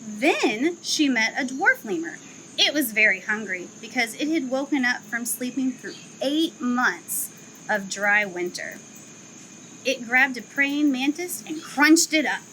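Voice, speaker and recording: A young woman who works at a zoo reads a children's picture book aloud outdoors, in an expressive storytelling manner. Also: sits high, a little hiss or hum, rough recording. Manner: slow, expressive storytelling, livelier chat afterward. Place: outdoor zoo enclosure with trees.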